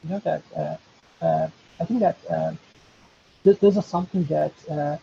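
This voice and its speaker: A man speaks as a professor on a webinar panel. His voice is gravelly and mellow, and his talk is halting and full of fillers and restarts. The video call audio is dull, with a faint hiss behind it. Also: on the high side, fairly even intonation. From Turkey.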